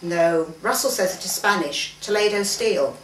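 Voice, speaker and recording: A woman's voice: fairly low pitch, normal speed, a bit bright, natural voice. A woman voicing a thoughtful, curious father in a storybook reading, with a musing, questioning manner. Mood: intrigued, emphatic. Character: thoughtful, curious father, a gentleman amazed by animals. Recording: roomy, distant mic, faint background noise.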